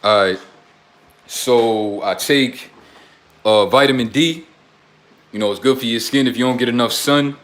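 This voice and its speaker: A man's voice: mid-range voice, unhurried, long pauses, fairly bright tone. Blunt young man preaching in slang. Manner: rambling, drifts between topics. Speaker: self-styled life coach. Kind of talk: casual livestream talk to chat.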